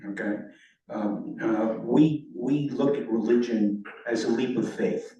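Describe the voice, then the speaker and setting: A man's voice: mid-range voice, a little slow, warm tone. A man in the congregation adding hesitant remarks to a group discussion, with "okay" and "um" fillers. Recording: dull, very echoey sound from far off.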